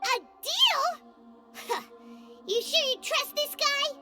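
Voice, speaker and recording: A woman voicing a tiny, excitable, suspicious fairy companion for a video game, squeaky and childlike, blurting and indignant. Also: slow pace, full character voice. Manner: blurting, indignant, speaks of self in third person. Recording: music behind the voice.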